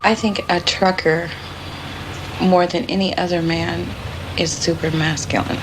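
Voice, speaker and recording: A woman shares an opinion in a casual, unscripted way. Her voice is slightly low and very even in tone, on a noisy archival recording with vehicle ambience. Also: unhurried. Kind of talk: casual opinion, speaking off the cuff.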